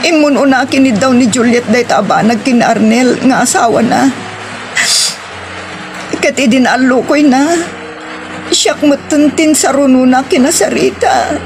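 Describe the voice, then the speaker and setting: Actress as young woman, high voice, anguished, tearful, pleading, Filipino Ilocano accent, audio drama, loud music underneath. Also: a little slow, long pauses. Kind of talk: Ilocano radio drama acting. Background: prominent music.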